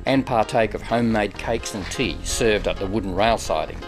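A man's voice: fairly low pitch, moderate pace, over music. A man narrating a travel documentary in a scripted way, with wry asides. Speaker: travel show host and narrator.

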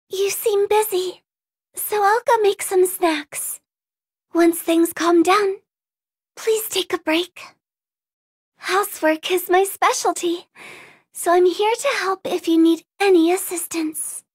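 A woman voicing a gentle, dutiful young maid girl in an anime game, speaking politely and earnestly. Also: very high-pitched. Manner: polite, earnest, softly formal. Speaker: video game voice actor. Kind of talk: anime game character voice lines.

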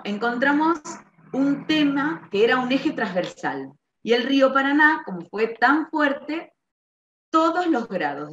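A middle-aged woman with an Argentine accent from around Rosario, informally explaining a school project as a librarian, with hesitant pauses and stretched words. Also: mid-range voice, a little hiss or hum. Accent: Argentine, Rosario area. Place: small bare-walled room indoors. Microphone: computer microphone on a video call. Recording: dull, echoey webcam audio.

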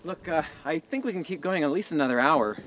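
A man's voice: fairly high pitch, lively intonation, fairly quick, a bit bright. A man in his twenties playing a cautious, earnest young survivor in a post-apocalyptic short film. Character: young male survivor guarding the cards. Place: outdoors in dry scrubland.

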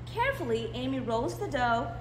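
High, animated woman reading a picture book. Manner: expressive, drawn-out emphasis on key words. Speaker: library storytime reader. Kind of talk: animated picture-book read-aloud for young children. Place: indoors in a library, seated. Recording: roomy echo, clear background noise.

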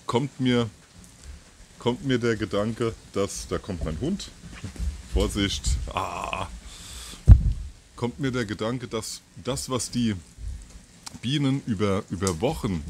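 Male video host, fifties, lowish voice, halting and informal delivery, recorded outdoors with faint background noise. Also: a little mellow. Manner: halting, thinking aloud with pauses and repeats. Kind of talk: informal monologue to camera. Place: outdoors on a terrace or balcony by trees.

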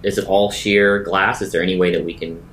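Man, tabletop player, low voice, improvised roleplay, faint rumble behind. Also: little pitch movement, brisk, a bit bright. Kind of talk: improvised in-character roleplay and casual game talk.

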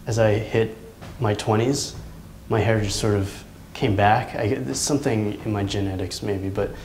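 A man in his thirties, an artist, talking reflectively in a seated interview in his studio, pausing often with ums and restarts. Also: on the low side, fairly even intonation, a little mellow, faint music behind. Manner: thoughtful, halting, many ums and restarts. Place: large indoor art studio. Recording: echoey studio room.

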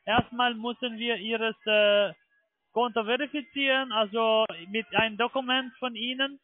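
High-pitched foreign man on a phone line. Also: fairly even intonation, soft background music. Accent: foreign accent in German. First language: not German. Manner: halting, ungrammatical, often ending on "ne?". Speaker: phone scam caller posing as broker. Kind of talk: improvised sales phone call. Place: telephone call. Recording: muffled narrow phone line.

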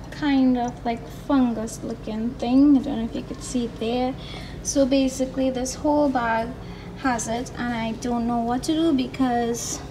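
High-pitched young Trinidadian woman, casual vlogger. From Trinidad and Tobago. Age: 20s. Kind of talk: casual cooking vlog narration. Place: home kitchen, indoors. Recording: noisy kitchen, distant microphone.

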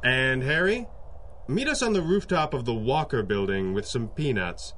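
Man playing an urbane, animated hero boss. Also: medium pitch, moderate pace, lightly acted. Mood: commanding. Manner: polished, dry, authoritative. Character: urbane, commanding male masked hero boss. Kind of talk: 1930s radio drama acting.

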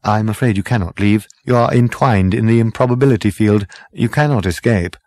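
A man's voice: fairly low pitch, brisk, a little mellow, no room sound, lightly acted. A man voicing a smug, unflappable and enigmatic schemer with a knowing, superior manner in an audiobook.

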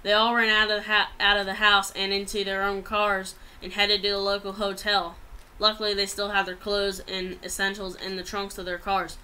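Bright, clear young woman reading aloud. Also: medium pitch, monotone, moderate pace, low-quality recording. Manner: plain read-aloud delivery with stumbles over words. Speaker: amateur writer reading own story. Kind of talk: reading a written story aloud. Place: small room at home, indoors.

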